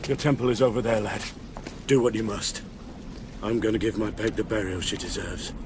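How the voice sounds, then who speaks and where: An actor playing a gruff, grieving old widower who talks fervently. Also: male voice, on the low side, fairly even intonation, normal speed, noisy background, voice pushed down, lightly acted. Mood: grim. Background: music.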